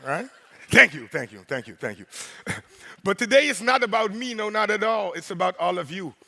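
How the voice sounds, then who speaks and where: A man hosting the opening of a congress on stage, with a very deep voice, talking in a playful, rhythmic way and drawing in the crowd. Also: lively intonation, normal speed, gravelly, sharp tone. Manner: playful, rhythmic, crowd-engaging with repeated "right?". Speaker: host and MC. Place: large conference hall. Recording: long hall reverb.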